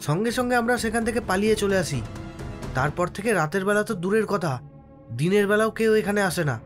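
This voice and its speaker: A man's voice: high-pitched, fast, over music, lightly acted. An actor voicing an earnest, frightened village boatman insistently telling a ghost tale in a horror cartoon. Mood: grave, fearful. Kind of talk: cartoon horror story voice acting.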